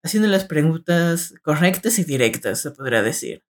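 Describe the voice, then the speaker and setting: A man's voice: fairly high pitch, big pitch swings, normal speed. A young man co-hosting a podcast, speaking straight to his listeners and giving them advice in casual conversation. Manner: addresses listeners directly, gives advice. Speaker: podcast co-host.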